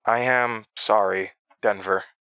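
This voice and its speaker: A man's voice: fairly low pitch, steady tone, takes it slowly, pushed and bright, clear, resonant tone, no echo. A man playing a brave, hurt teenage boy in a horror audio drama, earnest and stammering. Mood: rueful. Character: brave, hurt teenage boy at summer camp, self-sacrificing.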